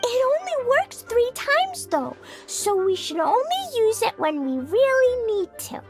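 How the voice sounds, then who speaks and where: A woman's voice: extremely high pitch, big pitch swings, measured pace, over music, exaggerated voice. A woman voicing a cheerful, eager, innocent little girl, a video game character. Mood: cheerful, reassuring.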